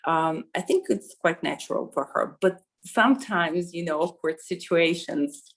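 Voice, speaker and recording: Middle-aged woman, lowish voice, lots of ums and you knows. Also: unhurried. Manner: hesitant, many fillers and restarts. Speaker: interviewee. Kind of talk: conversational interview answer.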